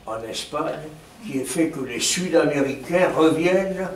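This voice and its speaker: A man asking formal, measured questions of a speaker after a lecture in an auditorium. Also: on the high side. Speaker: questioner at a debate. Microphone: distant. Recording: echoey hall, distant mic, faint noise.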